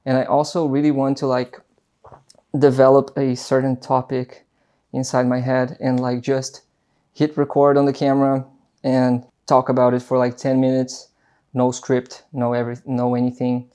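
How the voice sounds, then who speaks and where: A man's voice: medium pitch, completely flat delivery, normal speed, dark tone, clean tone. A young Brazilian man with an accent, English not his first language, rambling casually to camera in an unscripted vlog, full of fillers and restarts. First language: Portuguese. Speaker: vlogger.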